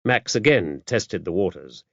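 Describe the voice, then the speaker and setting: A man's voice: deep voice, expressive, a little slow, creaky, a bit bright, no room sound, dull audio. A man reading scripted mystery fiction as an audiobook narrator.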